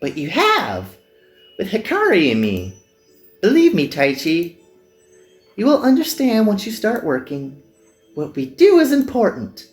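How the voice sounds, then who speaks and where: A man voicing an earnest, gentle young magical girl in a visual novel, pleading and sincere. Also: sits high, huge pitch swings, unhurried, long pauses, roomy sound, faint music behind, voice pushed up, exaggerated voice. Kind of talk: visual novel character dubbing.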